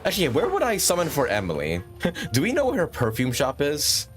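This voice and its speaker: A man's voice: fairly high pitch, huge pitch swings, brisk, over music. A man hosting a livestream with casual, rambling gaming commentary and frequent chatty asides. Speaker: livestream host.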